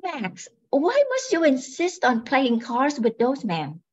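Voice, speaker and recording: A woman's voice: sits high, huge pitch swings, slow pace, husky, full character voice. A woman playing a sensible, scolding farm-raised twin sister in an amateur radio mystery, on a muffled recording. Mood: exasperated. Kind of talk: amateur old-time radio comedy-mystery acting. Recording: dull, muffled sound.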